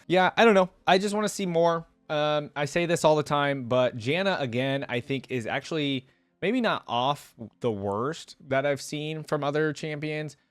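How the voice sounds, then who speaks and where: A man's voice: mid-range voice, no room sound. A young adult man, hosting a reaction video in casual, rambling chat.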